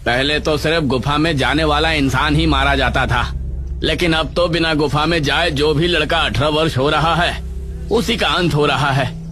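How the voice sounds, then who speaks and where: A man voicing a worried male villager who speaks with concern and pleading, in an animated horror story. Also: fairly high pitch, moderate pace, faint music behind. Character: worried male villager appealing to his chief.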